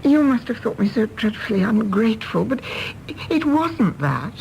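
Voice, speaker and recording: Middle-aged Englishwoman, creaky voice, hesitant, apologetic, genteel, radio play, faint background noise. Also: fairly high pitch, very animated, moderate pace, darkish tone, rough recording. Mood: ashamed, hesitant. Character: ashamed, gentle divorced woman, apologetic and anxious. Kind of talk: British radio drama acting.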